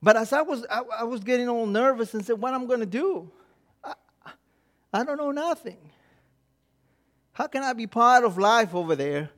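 A middle-aged Mexican-accented man preaches with a notably high voice. He tells stories animatedly, acting out the quoted thoughts of himself and others and often restarting his sentences, as a non-native speaker of English. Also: lively intonation, moderate pace, pauses often, fairly bright tone. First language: Spanish. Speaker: pastor. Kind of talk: sermon.

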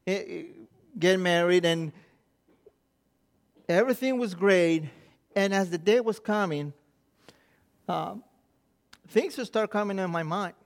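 A middle-aged Mexican-accented man preaches with a notably high voice. He tells stories animatedly, acting out the quoted thoughts of himself and others and often restarting his sentences, as a non-native speaker of English. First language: Spanish. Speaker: pastor. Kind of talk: sermon.

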